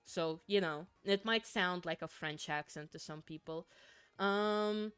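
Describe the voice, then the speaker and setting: A woman's voice: lowish voice, unhurried, fairly bright tone, smooth, no echo. A woman from Finland, a native Finnish speaker, chatting casually with viewers on a game livestream, giggling and repeating words as she goes. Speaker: streamer.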